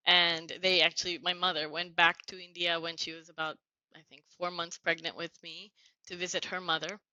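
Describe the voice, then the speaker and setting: A woman's voice: fairly low pitch, flat delivery, brisk, bright, forceful tone, dry recording, dull audio. A middle-aged woman from New Jersey, a podcast guest telling her life story in an earnest, grateful tone. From United States (New Jersey). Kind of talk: reflective conversational storytelling.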